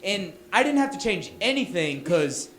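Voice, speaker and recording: A man from Texas with a Texan accent, doing a stand-up set in a small bar, loud and punchy with stumbling restarts. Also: high-pitched, big pitch swings, moderate pace. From Texas, USA. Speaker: stand-up comic. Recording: echoey bar room.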